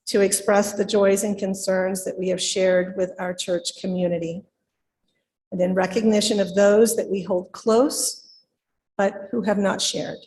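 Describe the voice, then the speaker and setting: A woman with a slightly low voice speaks to a congregation as a worship leader. She is recorded live at a church service, with faint noise behind her. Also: a little mellow, rough recording. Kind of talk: sharing and leading at a church service.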